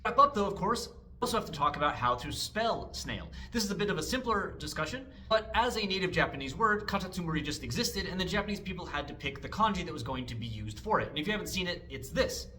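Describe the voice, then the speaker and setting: A man with a bright, forward voice that sits a little high gives a casual explainer lesson. He talks with dry, joking asides. A faint hiss sits under the recording. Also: fast. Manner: wry, joking, lively asides. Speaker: language lesson host.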